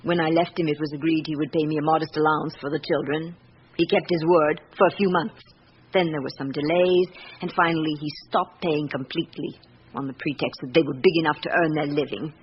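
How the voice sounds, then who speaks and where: An actress playing an embittered middle-aged estranged wife in a radio drama, with a British accent and a reflective, confiding manner. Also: female voice, low voice, steady tone, brisk, natural voice. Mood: aggrieved. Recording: muffled, noisy old radio recording.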